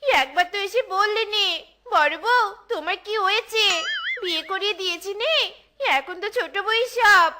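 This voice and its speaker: A woman's voice: extremely high pitch, a little slow, heavy background noise. A woman voicing a complaining, hard-of-hearing wife in a cartoon, grumbling about being neglected. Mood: self-pitying. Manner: whining, complaining. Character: whiny older jackal wife, half-deaf and self-pitying. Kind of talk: cartoon voice acting.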